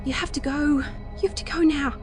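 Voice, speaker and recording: A woman's voice: high voice, fairly even intonation, fairly quick, natural voice. A woman playing a fearful, guarded scientist in an audio drama, with a flustered, clipped way of talking. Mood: anxious. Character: harried, fearful, guarded female scientist on Mars. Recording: voice over loud music.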